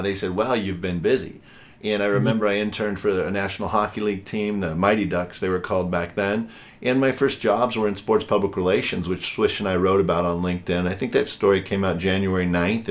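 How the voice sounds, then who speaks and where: A man in his forties hosting a podcast interview, chatty and rambling, with frequent fillers. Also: low-pitched. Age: 40s. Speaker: podcast host.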